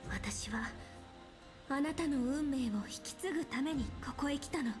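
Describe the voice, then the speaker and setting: An actress playing a solemn, resolute young female saint in a video game, with a grave, measured, breathy delivery. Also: high voice, natural voice. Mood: solemn vow. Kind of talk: Japanese video game voice acting. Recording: game music under the voice.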